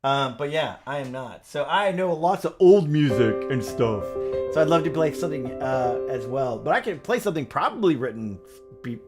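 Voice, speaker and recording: A man's voice: medium pitch, lively intonation, moderate pace. A middle-aged man hosting a casual music livestream, chatty and upbeat, with lots of fillers. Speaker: livestream pianist host.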